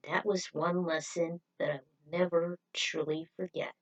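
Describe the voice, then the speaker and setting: A woman's voice: fairly low pitch, flat delivery, moderate pace. A woman voicing an earnest young male hero who reflects solemnly and sincerely in an amateur fan fiction reading. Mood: solemn, reflective.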